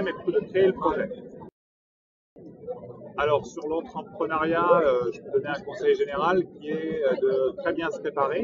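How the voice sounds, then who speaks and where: A man in his twenties from Brittany, an entrepreneur giving measured advice in an interview, with frequent hesitations. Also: fairly high pitch. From Brittany, France. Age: 20s. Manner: measured and advisory, many hesitations. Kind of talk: interview monologue. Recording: distant, muffled mic with loud background noise.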